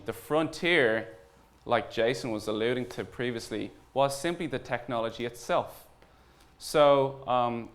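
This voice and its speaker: An Irish man speaking as a startup founder at a conference, informal and earnest, pausing deliberately for emphasis. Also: medium pitch, fairly bright tone. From Ireland. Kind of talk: conference talk.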